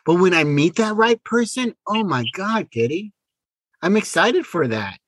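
A man co-hosting a podcast in a casual, conversational style, with slightly halting phrasing. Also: high voice, expressive, fairly bright tone, no room sound. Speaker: podcast co-host. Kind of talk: casual relationship chat.

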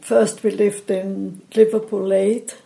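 Woman in her eighties, Swiss accent, gentle hesitant talk, heavy vocal fry, mellow. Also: mid-range voice, flat delivery, poor audio. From Switzerland. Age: 80s. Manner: gentle, hesitant, many pauses and restarts. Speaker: interview guest. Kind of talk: casual reminiscing.

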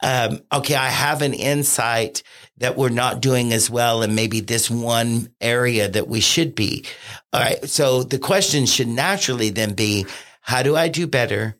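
Man, podcast guest, earnest and measured, mid-range voice. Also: mid-range voice, fairly bright tone. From Cincinnati area. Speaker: podcast guest, consultant and franchisee. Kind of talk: conversational interview.

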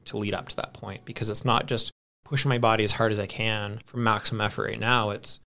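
A man's voice: lowish voice, flat delivery, fast talker, pushed and bright. A man in his thirties chatting casually in an anecdotal, self-deprecating way. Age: 30s. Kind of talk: casual chat.

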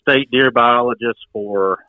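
A man's voice: medium pitch, no echo. Man explaining over a tinny phone line. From Georgia, USA. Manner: measured, matter-of-fact explainer. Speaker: wildlife biologist guest. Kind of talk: explanatory interview answers.

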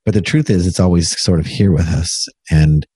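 Brief: male interviewer, very deep husky voice, dry close sound, thoughtful and encouraging. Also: normal speed, warm tone, no echo. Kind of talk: interview conversation.

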